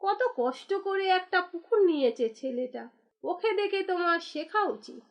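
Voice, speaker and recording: A woman voicing a sharp-tongued, fed-up village wife in a cartoon, nagging and sarcastic. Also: very high voice, animated, normal speed. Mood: scolding, reproachful. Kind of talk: cartoon dialogue acting.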